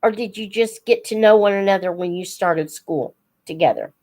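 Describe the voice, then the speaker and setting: An older woman chatting casually as a live host for young viewers, in an unhurried, reflective, folksy manner. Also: medium pitch, a little mellow. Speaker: host of a children's book read-aloud channel.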